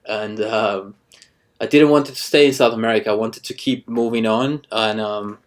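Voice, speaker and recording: A man in his thirties from Ecuador, a cinematographer chatting casually in an interview, halting with many restarts and fillers. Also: lowish voice, steady tone. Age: 30s. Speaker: cinematographer guest.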